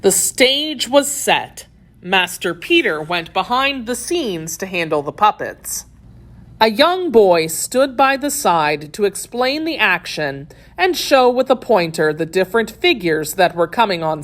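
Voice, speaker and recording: A woman narrating a children's story from a script, telling it in an expressive, deliberate way. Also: medium pitch.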